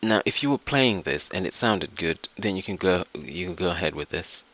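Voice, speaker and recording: Very deep-voiced man explaining software. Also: expressive, normal speed. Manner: explanatory, often repeats and rephrases phrases. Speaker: tutorial presenter. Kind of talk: step-by-step software tutorial narration.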